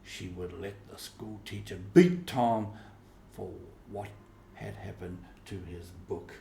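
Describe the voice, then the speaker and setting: A man narrating a storybook in deliberate, measured phrases, as an audiobook reader. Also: fairly low pitch, fairly even intonation, gravelly, warm tone, reverberant, a little hiss or hum. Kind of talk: slow scripted storybook narration with acted dialogue.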